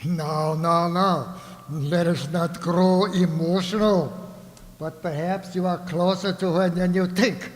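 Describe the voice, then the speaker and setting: A man playing a scheming onion ruler in a radio play, courteous and pompous with a smooth menace. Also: sits high. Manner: pompous, mock-genteel menace. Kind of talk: theatrical radio drama acting. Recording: echoey hall with long reverb.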